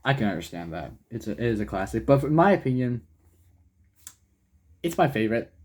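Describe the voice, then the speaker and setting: A young man co-hosting a casual movie review chat, loose and excitable, with restarts and the odd swear word. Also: medium pitch, a little fast. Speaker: co-host of a movie review channel.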